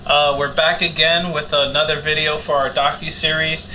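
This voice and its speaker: Bright, forceful man hosting a panel. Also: a little high, little pitch movement, normal speed, a little hiss or hum. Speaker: host interviewing young community organizers.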